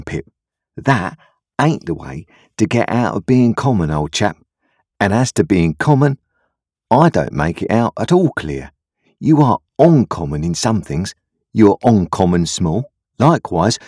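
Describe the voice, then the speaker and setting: A kindly country blacksmith as acted out by a male storybook reader, reasoning things out earnestly in homespun, ungrammatical speech. His voice is slightly rough and swings widely in pitch, recorded dry and close. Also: a little mellow, dry recording. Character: kindly, slow-reasoning uneducated country blacksmith, adult man.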